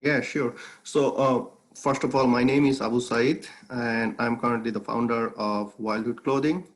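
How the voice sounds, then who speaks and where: A man's voice: mid-range voice, steady tone, normal speed, poor audio. A young man from Bangladesh, a native Bengali speaker, tells his life story as an interview guest, earnest and reflective, with many fillers and restarts. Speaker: guest, clothing brand founder.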